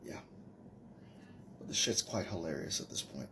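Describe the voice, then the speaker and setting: A man in his forties with a Trinidadian accent rants casually, boasting and scolding his critics. His voice sits very low. A faint hiss runs behind him. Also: expressive, moderate pace, sharp tone. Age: 40s. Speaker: commenter in a stitched video. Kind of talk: casual rant to camera.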